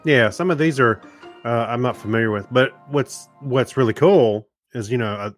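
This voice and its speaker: A man's voice: medium pitch, normal speed, faint music behind. A man co-hosting a casual tech podcast in an easygoing way, laughing often. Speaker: podcast co-host.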